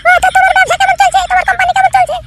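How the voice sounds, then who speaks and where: Man as a squeaky, extremely high cartoon girl. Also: flat delivery, racing, very noisy. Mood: coaxing. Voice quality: squeaky, artificially thin.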